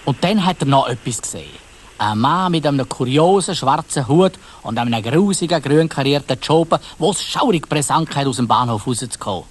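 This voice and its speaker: A man's voice: on the high side, expressive, fairly quick, breathy edge. A man with a Swiss German accent narrating a dramatised children's story, addressing young listeners directly.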